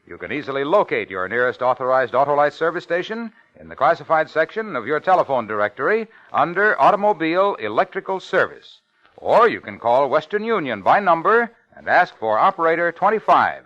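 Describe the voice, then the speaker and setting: A man's voice: fairly high pitch, sing-song, brisk, no echo. A man announcing a vintage radio commercial in an emphatic, polished sales style. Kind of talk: 1950s radio ad pitch. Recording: dull, dry old radio recording.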